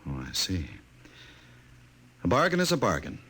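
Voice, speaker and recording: Deep-voiced, coldly controlling male director. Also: wildly swooping pitch, heavy background noise. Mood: suave, possessive. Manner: formal, measured, authoritative. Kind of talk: 1950s radio drama acting.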